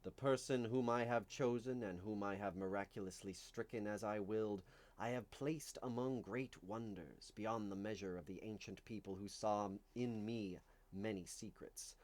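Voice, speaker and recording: A man reading religious writing aloud in a solemn, declamatory way, with deliberate phrasing and dramatic emphasis. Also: fairly low pitch, darkish tone. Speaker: reader of a religious text. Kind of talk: dramatic reading of medieval visionary scripture.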